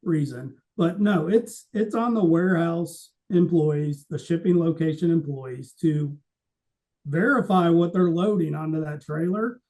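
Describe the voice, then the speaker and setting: Mellow, higher-pitched man explaining things. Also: a little slow. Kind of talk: casual industry discussion.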